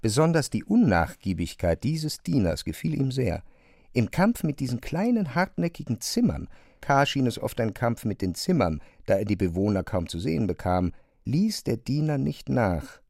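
A man's voice: medium pitch, sing-song, normal speed, vocal fry, a little mellow, no echo. Dry, close-miked male narrator. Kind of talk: scripted literary narration.

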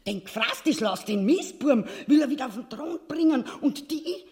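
An actress playing a scheming, coarse tyrant's wife in a grotesque farce, mocking in a Viennese accent. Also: female voice, high-pitched, expressive, normal speed, darkish tone. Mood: gloating. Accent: Viennese Austrian. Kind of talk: grotesque comic stage farce acting.

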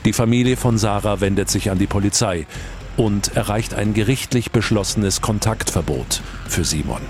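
Deep-voiced man narrating true crime over music. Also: normal speed, a little mellow. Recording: music under the voice.

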